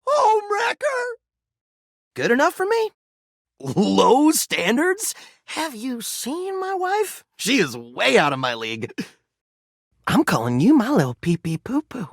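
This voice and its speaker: A man's voice: extremely high pitch, huge pitch swings, takes it slowly, pauses often, dry recording, clean studio sound, full character voice. A man voicing a hyperactive goofball game mascot, campy and theatrical, full of playful silliness. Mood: cheeky, playful.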